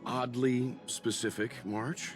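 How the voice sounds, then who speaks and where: An actor playing a calm, reserved gentleman mentor in a video game, measured and wry. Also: medium pitch, music in the background, close to an everyday voice. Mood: wry, teasing.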